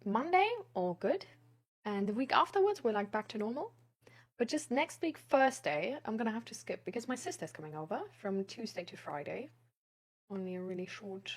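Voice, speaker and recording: A woman livestreamer chatting casually with her viewers in a hesitant, rambling way that often trails off. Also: medium pitch. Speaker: live streamer.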